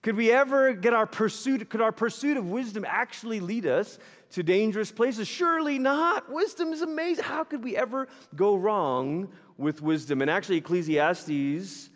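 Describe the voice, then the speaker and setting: A man from Malaysia preaching a sermon in a church, telling animated, self-deprecating stories with humor. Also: sits high, normal speed. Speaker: pastor. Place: church sanctuary. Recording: long reverb of a large hall.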